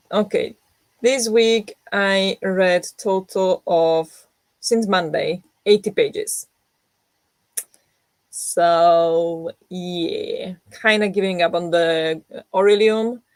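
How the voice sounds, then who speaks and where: A young woman who has just woken up talks rambling and unhurried to her viewers, full of fillers and restarts. Her voice sits a little low and sounds groggy. The room sound is dry and close. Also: steady tone, dry recording. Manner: rambling, self-deprecating, many fillers and restarts. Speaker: book vlogger hosting a reading sprint. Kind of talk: casual chat to viewers.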